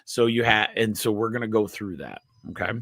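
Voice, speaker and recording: A nasal, somewhat low-pitched man explaining health topics to a live online audience. He speaks haltingly, restarting his phrases and tagging lines with quick check-ins. The sound is close and dry, with no room echo. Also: expressive, vocal fry, a bit bright. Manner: halting, frequent restarts, checks in with "okay?" and "right?". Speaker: chiropractor host.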